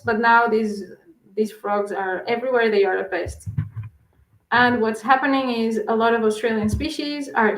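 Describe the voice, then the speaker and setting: A woman's voice: on the high side, echoey room, rough recording. A woman from Portugal with a Portuguese accent, a researcher answering interview questions with many fillers and restarts. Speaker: guest researcher.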